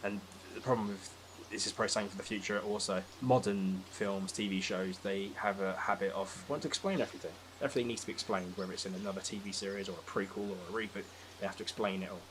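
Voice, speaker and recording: A man's voice: sits low, steady tone, quick pace, hardly any pauses, a bit bright, low-quality recording. A man speaking as a podcast guest in casual, opinionated discussion, with a dry, sceptical manner. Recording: faint background noise.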